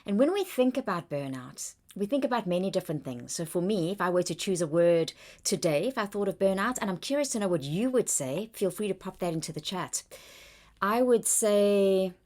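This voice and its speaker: A woman's voice: medium pitch, expressive, normal speed, darkish tone. A woman with a South African accent, a workplace wellbeing coach presenting on a video-call webinar, engaging the audience with questions.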